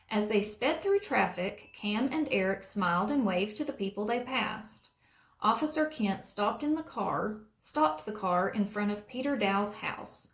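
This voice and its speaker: A woman narrates a children's story with deliberate, expressive phrasing. Her pitch moves in lively swings, and the sound is roomy and echoing. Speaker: storybook reader. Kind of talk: read-aloud narration for children.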